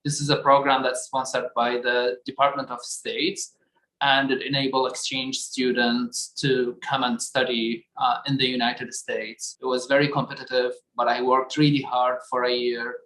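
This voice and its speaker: Flat-voiced man, roomy sound. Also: mid-range voice. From Iraq. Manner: earnest, measured recounting. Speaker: storyteller. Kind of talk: personal testimony. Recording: roomy echo.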